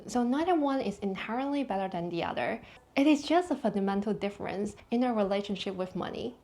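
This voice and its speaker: A woman's voice: mid-range voice, a little mellow. Hong Kong woman chatting about money. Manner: chatty, laughs lightly between points. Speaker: personal finance vlogger. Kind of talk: casual talk to camera. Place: London.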